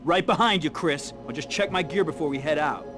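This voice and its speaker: An actor playing a brash, sarcastic American street resistance fighter in a video game, wisecracking and excitable. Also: male voice, a little high, fairly quick, lightly acted. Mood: eager. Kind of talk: casual game cutscene dialogue.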